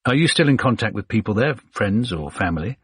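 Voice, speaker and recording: A man's voice: on the low side, lively intonation, a little fast, husky, dry recording, clean studio sound. A man voicing a male newspaper journalist who is earnest and polite, asking for help directly.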